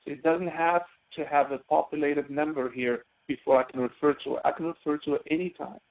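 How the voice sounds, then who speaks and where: Gravelly male instructor on a phone-like line. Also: on the high side, steady tone, brisk. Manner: instructional, explaining step by step. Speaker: course instructor. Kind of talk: live spoken software tutorial. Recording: narrow phone-like audio.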